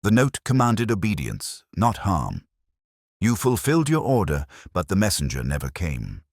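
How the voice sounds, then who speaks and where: A man acts a grave Victorian detective in an audio drama. He is calm, exact and commanding, with a husky voice that moves expressively up and down, in a very dry recording. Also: sits low, no echo, voice pushed up, exaggerated voice. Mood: calm authority. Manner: measured, quiet, incisive.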